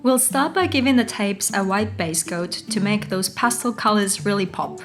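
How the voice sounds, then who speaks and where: A woman's voice: mid-range voice, expressive, normal speed. A woman narrating a scripted craft tutorial as its host, giving step-by-step instructions. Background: music.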